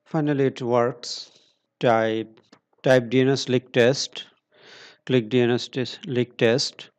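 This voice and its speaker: Slow, steady man walking through a tutorial. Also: medium pitch, smooth. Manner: slow, step-by-step instructions with pauses and fillers. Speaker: tutorial presenter. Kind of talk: screen-recorded tech tutorial.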